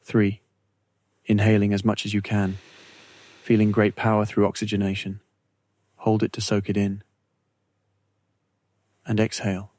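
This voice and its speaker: A man narrating a scripted guided meditation in a slow, measured, soothing way with long pauses. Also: fairly low pitch, completely flat delivery, darkish tone, no echo.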